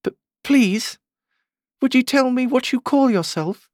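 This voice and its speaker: A woman's voice: a little high, sing-song, slow pace, a little mellow, no room sound, studio quality, voice pushed up, heavily acted. A woman voicing a timid, wistful little storybook girl with a hesitant, polite manner.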